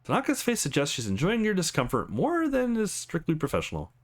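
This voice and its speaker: A man's voice: fairly high pitch, a little fast. A man hosting a let's play, reading a visual novel aloud expressively and adding offhand comments. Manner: expressive, acts out the text as he reads.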